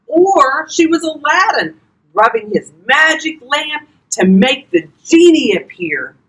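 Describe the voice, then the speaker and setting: An older woman reading a children's storybook aloud expressively, talking directly to young listeners in a husky voice. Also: high voice, sing-song, takes it slowly, fairly bright tone, poor audio. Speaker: volunteer grandparent reading to children.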